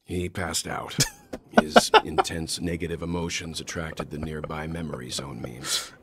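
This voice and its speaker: An actor voicing a calm, matter-of-fact man in a game. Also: rumbling low, measured pace, faint music behind.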